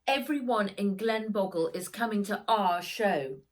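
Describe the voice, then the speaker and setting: A woman's voice: mid-range voice, measured pace, voice pushed up, natural voice. A woman voicing an eager, easily crestfallen young boy in a children's storybook, earnest and emphatic. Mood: indignant.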